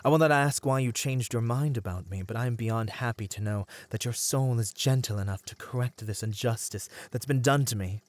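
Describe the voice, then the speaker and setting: A man playing a merman siren in an ASMR roleplay, speaking in courteous, formal phrases as a seemingly gentle creature with a predatory streak. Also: mid-range voice, no echo, close to an everyday voice. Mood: grateful, amazed. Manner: soft, courteous, formal, halting speech. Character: male merman siren, feigning gentle innocence, secretly predatory.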